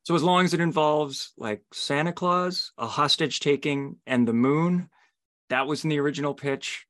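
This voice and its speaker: A man's voice: fairly high pitch, normal speed, fairly bright tone. A man hosting a conversational podcast interview, phrasing his thoughts in hesitant, broken-up pieces.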